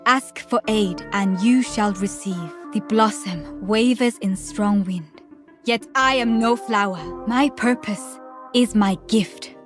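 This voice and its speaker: A woman voicing a serene, slow-spoken healer. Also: mid-range voice, soft background music, lightly acted. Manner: solemn, serene. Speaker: game character.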